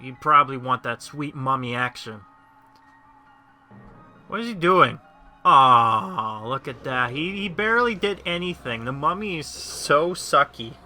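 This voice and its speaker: Bright-voiced rambling gameplay host. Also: male voice, mid-range voice. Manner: rambling, many fillers and trailing thoughts. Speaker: let's-play host. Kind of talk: casual gameplay commentary.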